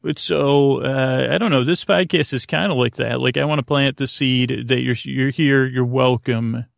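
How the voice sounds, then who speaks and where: A middle-aged man delivers a meandering bedtime monologue as a podcast host, halting often and looping back on himself. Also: mid-range voice, steady tone, gravelly, no echo, studio quality. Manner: hesitant, halting, trails off and loops back.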